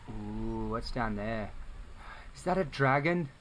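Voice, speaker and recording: A man's voice: lowish voice, expressive, slow, fairly bright tone, slight background noise. A man hosting a let's play, giving informal commentary and thinking aloud as he reacts to the game. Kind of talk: casual gaming commentary.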